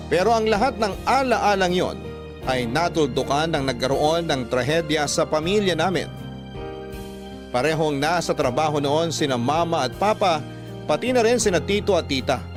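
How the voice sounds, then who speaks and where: A male actor plays a wistful storyteller looking back on family life in a Filipino radio drama. His voice sits a little high for a man and has a Filipino accent. Music plays clearly behind the narration. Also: natural voice. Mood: sorrowful. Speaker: storyteller reading a letter to a radio drama host. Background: music under the voice.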